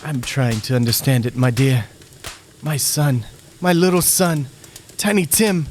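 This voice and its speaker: A man playing a meek, loving father who speaks haltingly and sorrowfully in a radio play. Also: mid-range voice, slight background noise, natural voice.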